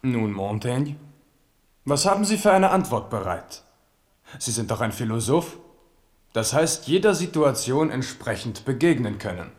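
A man's voice: mid-range voice, big pitch swings, measured pace. Proud young king, outspoken. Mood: guarded, courteous. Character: young King of Navarre, proud, wary, outspoken Huguenot leader. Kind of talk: German radio play acting.

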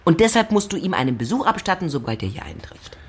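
An actress playing an excitable, nagging middle-aged wife and mother in a period radio drama, dramatic, complaining and insistent. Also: fairly low pitch, fast talker, husky. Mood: eager, exasperated.

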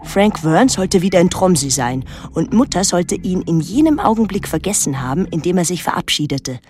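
An actress playing a troubled, watchful daughter who narrates an island mystery in a measured, literary way. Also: female voice, fairly low pitch, very animated, a little mellow. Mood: restless, brooding. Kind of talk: literary radio drama narration and dialogue.

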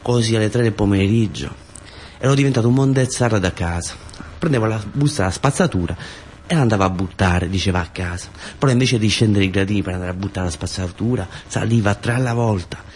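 A man's voice: on the low side, fast talker, darkish tone, slight background noise. A man with a southern Italian accent from the Taranto area performs a colloquial narrative monologue, insistently repeating words and phrases. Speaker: actor-storyteller.

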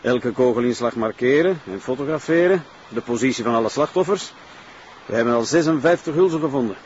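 A man's voice: mid-range voice, expressive, a little fast, darkish tone, lightly acted. Methodical Flemish forensic policeman, played by a man. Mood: matter-of-fact. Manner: factual, methodical. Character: unhurried male forensic police technician. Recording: dull location sound, faint noise.

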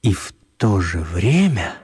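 A male actor as the storyteller of a children's radio play, narrating expressively in a husky voice. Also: low voice, sing-song, slow, loud music behind the voice, full character voice. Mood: storytelling.

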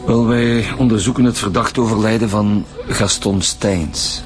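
An actor plays a commanding police commissioner with a Flemish accent. He is brusque and bossy in this radio drama. Music plays clearly behind him. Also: on the low side, fairly even intonation, a little slow, lightly acted. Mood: businesslike.

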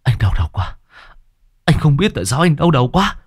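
A man's voice: medium pitch, very animated, a little fast, slightly rough, voice pushed up. Give me a man acting out a delirious young man in pain, groaning, in a horror story. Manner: groaning, pained, confused. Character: young man, feverish and in agony.

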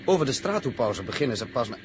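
A man's voice: mid-range voice, big pitch swings, fast, lightly acted. An actor playing a practical, level-headed rocket crewman who speaks matter-of-factly in an old radio drama. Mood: calm, reassuring. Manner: matter-of-fact, reassuring. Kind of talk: 1960s radio drama acting. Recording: noisy old radio recording, vehicle hum.

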